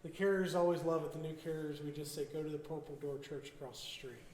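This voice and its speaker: A man's voice: on the high side, steady tone, quick pace, a little mellow. A man serving as emcee, casually introducing each speaker and hesitating with fillers. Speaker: event emcee. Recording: reverberant hall, faint noise.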